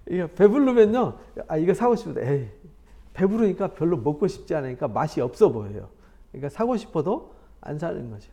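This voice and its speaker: A middle-aged man from South Korea teaching a Bible study as pastor, chatty and animated, asking rhetorical questions. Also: fairly high pitch, moderate pace.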